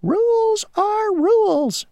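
A woman voicing a tiny, pompous male mosquito ferryman who talks slowly and insists on rules. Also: extremely high pitch, animated, dark tone, no room sound, voice pushed up, heavily acted. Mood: self-important. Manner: slow, rule-bound, boastful.

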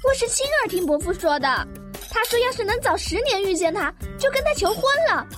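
A woman's voice: very high-pitched, expressive, fairly quick. A woman playing a brash, flippant young niece in an audio drama. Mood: cheeky, provocative. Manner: flippant, provocative. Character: cheeky, brash young niece in rich family. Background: music and clinking glasses.

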